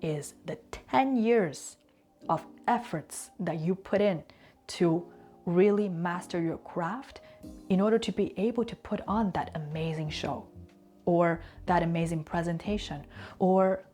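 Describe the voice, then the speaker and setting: A woman whose first language is Chinese, giving an earnest, reflective talk on consistency and slowing down to stress key words. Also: fairly low pitch, warm tone. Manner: conversational asides and fillers. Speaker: language and culture teacher.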